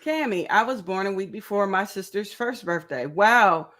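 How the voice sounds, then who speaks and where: A woman's voice: medium pitch, animated, normal speed, a bit bright. A woman in her fifties hosting a casual livestream, chatty and greeting viewers by name with frequent laughs. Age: 50s. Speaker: crochet channel host.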